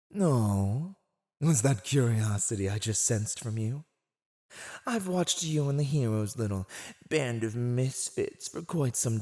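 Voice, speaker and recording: Villain, played by a male actor, ASMR roleplay: low-voiced, very unhurried, theatrical, teasing and smugly persuasive toward his captive. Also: darkish tone, full character voice. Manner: condescending, theatrical, seductively persuasive. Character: silver-tongued, teasing male villain gloating over a captive. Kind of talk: scripted ASMR roleplay acting.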